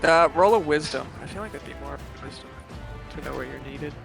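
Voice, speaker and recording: Man, game master, higher voice, improvised narration, halting explanations, clear music bed. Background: loud background music.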